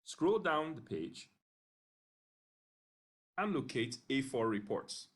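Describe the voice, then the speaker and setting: A man narrating an instructional screencast in a measured, step-by-step way, pausing briefly between phrases. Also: medium pitch, very animated. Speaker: software tutorial narrator.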